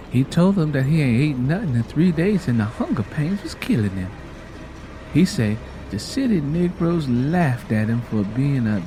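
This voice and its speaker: Man as a folksy, animated Southern mother. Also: on the high side, a little hiss or hum. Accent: American South. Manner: folksy, plainspoken storytelling. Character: frank, world-wise Southern mother telling a family tale.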